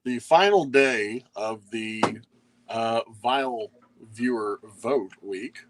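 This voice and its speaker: A man's voice: mid-range voice, takes it slowly, background noise. A man chatting casually as a guest in a laid-back, rambling way. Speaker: show guest. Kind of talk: casual chat.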